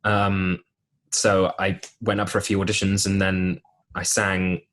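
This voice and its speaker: A young man with a deep voice telling stories in a casual podcast interview, with plenty of ums and restarts. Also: measured pace. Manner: relaxed storytelling with many ums and restarts. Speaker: podcast guest, musical theatre performer.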